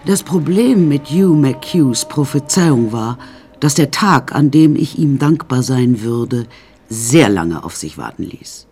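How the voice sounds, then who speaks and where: An actress playing an older, sardonic and imperious confined woman who narrates her macabre life in a wry, drawn-out, confiding way in a radio drama. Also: rumbling low, animated, dark tone, husky, faint music behind, heavily acted. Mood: wry recounting.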